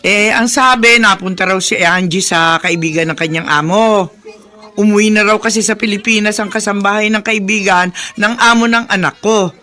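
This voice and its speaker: A woman's voice: mid-range voice, normal speed, sharp tone, close to an everyday voice. A woman acting as an older neighbor in a radio drama, gossipy and teasing.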